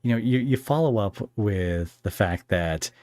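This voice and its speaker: A man hosting a podcast, chatting casually with a guest in halting, searching phrases that often turn into questions. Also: lowish voice, expressive, dark tone, no echo. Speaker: podcast host interviewing a guest. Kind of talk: casual interview chat.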